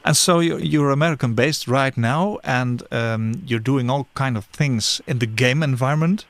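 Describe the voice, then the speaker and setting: A man whose first language is Dutch interviews a guest in English, his voice mid-pitched and ordinary. He often repeats a word or two before his questions come out. The recording is dry and close. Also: moderate pace, no room sound. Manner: hesitant, repeats words while forming questions.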